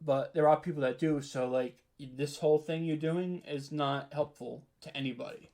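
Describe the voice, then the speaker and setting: A man's voice: on the high side, steady tone, moderate pace, warm tone. A man speaking as an interview guest in casual, candid chat, peppering his talk with "like" and "you know". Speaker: interview guest, horror audio drama writer.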